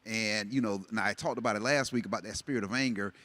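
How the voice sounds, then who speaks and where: A man's voice: on the low side, fast, fairly bright tone. A man born in Jamaica and raised in the United States, giving earnest, reflective personal testimony on a panel. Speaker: relationship panel guest.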